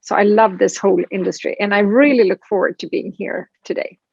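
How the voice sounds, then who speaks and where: A woman's voice: mid-range voice, steady tone, a little fast, gravelly, darkish tone, slightly rough, no room sound, lo-fi sound. A woman speaker coach introducing herself on a panel in an upbeat, enthusiastic way. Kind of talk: casual self-introduction.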